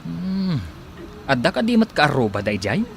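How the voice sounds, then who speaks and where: A man's voice: on the high side, sing-song, normal speed, creaky, slight background noise. A man acting in a radio drama as a respectful, friendly aide who runs detective errands. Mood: friendly, inquiring. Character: deferential male aide doing detective errands, friendly when asking around.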